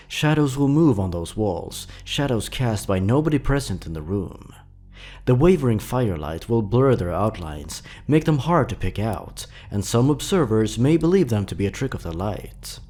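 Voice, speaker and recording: A man narrating a horror story in a measured, suspenseful, dramatic way. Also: lowish voice, darkish tone.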